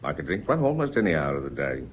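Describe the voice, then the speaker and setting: An actor playing a mild, deferential but quietly persistent British caller in a radio drama, polite and hesitant. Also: male voice, rumbling low, sing-song, fast talker, husky, heavily acted. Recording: dull, noisy old radio recording.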